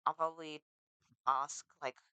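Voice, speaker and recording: A teenager, talking in a casual confessional vlog, halting and full of restarts, earnest and emotional. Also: mid-range voice, steady tone, pushed and bright, smooth, dry recording, muffled recording. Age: teens. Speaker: vlogger.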